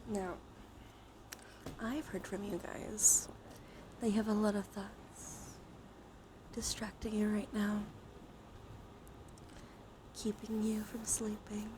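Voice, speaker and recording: A woman live streamer speaking softly, often in a whisper, in a gentle ASMR style mixed with casual chat. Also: mid-range voice, slow, long pauses. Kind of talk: ASMR, soft chatty livestream talk. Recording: clear steady background noise.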